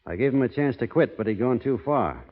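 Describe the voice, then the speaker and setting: A man's voice: mid-range voice, lively intonation, fairly quick, natural voice. An actor playing a stoic, honor-bound American cowboy foreman in a radio western, plain-spoken and restrained. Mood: grave, resolute. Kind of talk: 1940s radio western acting. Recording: muffled vintage radio broadcast, hall reverb.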